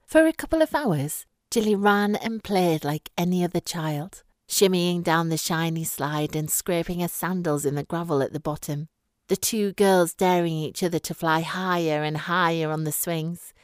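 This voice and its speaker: Low-voiced woman reading a short story. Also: normal speed, no room sound, studio quality. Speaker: story reader. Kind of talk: scripted literary narration of a short story.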